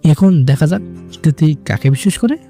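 A man's voice: a little high, lively intonation, moderate pace, dark tone, soft background music. A man playing a possessive, scheming young rival in an audio drama, with a calculating, menacing manner. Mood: smug. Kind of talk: audio drama villain acting.

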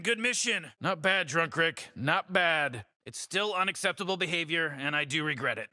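A man voicing a cynical, boastful, drunken old scientist in a cartoon game, with a strained rasp and stammering, arrogant delivery. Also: sits high, bright, forceful tone, no room sound, heavily acted. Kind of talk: animated-style game voice lines.

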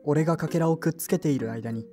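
A woman's voice: deep voice, fast, mellow, faint music behind, lightly acted. A woman playing an earnest, polite boy in a Japanese audio drama. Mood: narrating.

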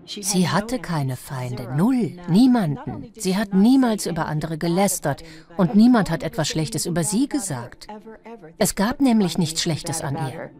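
A woman's voice: fairly low pitch, expressive, measured pace, warm tone, soft background music. A woman voicing a documentary interviewee who recounts a case in dubbed testimony. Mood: earnest recounting.

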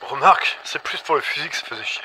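A man's voice: on the high side, animated, quick pace, bright, forceful tone. A man playing an irritable, wary survivor in an audio drama, brusque and sweary over a walkie-talkie. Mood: suspicious. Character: gruff, irritable, wary male survivor on a radio. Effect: walkie-talkie radio. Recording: noisy, crackly walkie-talkie transmission.

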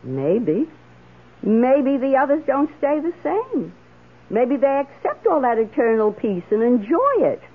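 A middle-aged schoolteacher in a radio drama, wry and wistful. Her pitch moves expressively, and the sound is a muffled old broadcast. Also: sing-song, unhurried, slightly rough, heavily acted.

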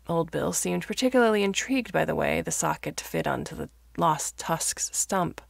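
A woman reading fiction aloud as a narrator, in a measured, expressive storytelling manner. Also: sits low, gravelly, no echo, studio quality. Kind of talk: scripted audiobook-style narration of fiction.

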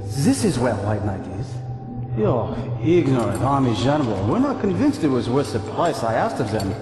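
An actor playing a fanatical, coldly grandiose mad scientist in a video game, delivering theatrical, menacing speeches. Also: male voice, mid-range voice, sing-song, moderate pace, lightly acted. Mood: contemptuous. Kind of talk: video game cutscene acting. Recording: echoey reverberant hall, loud music behind.

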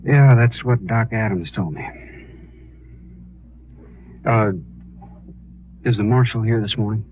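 An actor playing a weary, earnest young condemned prisoner in a 1950s radio western, with plain, halting rustic speech. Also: male voice, on the low side. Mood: weary, subdued. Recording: tinny, noisy old radio recording.

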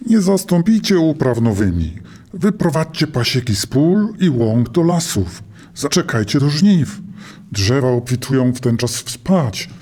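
An actor playing a wise, folksy village herbalist in an audio drama. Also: male voice, mid-range voice, sing-song, a little slow, mellow, slightly rough. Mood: advising.

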